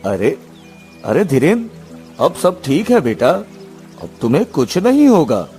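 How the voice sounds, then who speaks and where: A man's voice: fairly high pitch, wildly swooping pitch, a little slow, warm tone, faint music behind. Man as a dramatic, protective cartoon king. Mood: comforting. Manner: dramatic, earnest. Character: commanding, emotional king and protective father.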